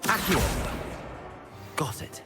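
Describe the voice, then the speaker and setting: A male actor playing a composed, helpful young student wizard, speaking in a measured, courteous way in a video game. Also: music in the background. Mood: commanding.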